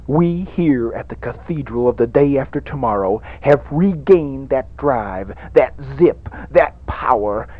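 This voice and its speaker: A pompous, fervent radio preacher played by a man delivers a mock sermon in slow, oratorical phrases. He moves between hushed drops and dramatic swells, on a dull, lo-fi 1980s radio recording. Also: mid-range voice. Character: fervent, theatrical radio evangelist reverend preaching car-themed faith.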